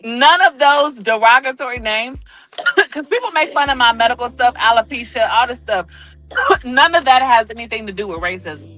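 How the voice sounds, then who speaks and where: Woman, casual livestream guest, sassy, calls folks honey, bright tense tone, calling from a truck stop. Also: fairly high pitch, expressive, normal speed, soft background music, rough recording. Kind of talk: casual chat. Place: at a truck stop, on the road for work.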